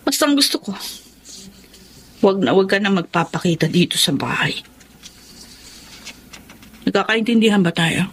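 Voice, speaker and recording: A woman's voice: medium pitch, big pitch swings, moderate pace, pauses often, a little hiss or hum, close to an everyday voice. A woman playing a cold, bitter daughter. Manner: curt and hard-edged. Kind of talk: radio drama acting. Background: music.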